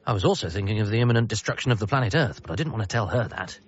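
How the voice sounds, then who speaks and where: An awkward, bereaved son, slightly dull sound. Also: male voice, fairly low pitch, animated, rapid-fire, breathy edge. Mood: reflective. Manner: hesitant, apologetic, flustered. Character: bereaved, awkward, earnest adult son working as a nurse. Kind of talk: radio comedy drama, narration and dialogue.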